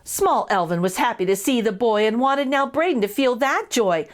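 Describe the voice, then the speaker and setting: Expressive woman reading aloud, lively pitch. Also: moderate pace. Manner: expressive, with asides to young listeners. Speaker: children's story reader and host. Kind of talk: expressive picture book read-aloud.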